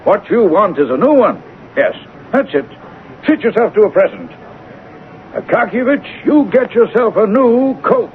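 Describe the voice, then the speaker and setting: Pompous, high-voiced crabby official. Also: male voice, expressive, moderate pace. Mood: bossy, amused. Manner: blustering, hemming, pompous. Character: crabby, pompous male senior official, blustering at his clerk. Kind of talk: 1970s radio drama acting. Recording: tinny, noisy old radio recording.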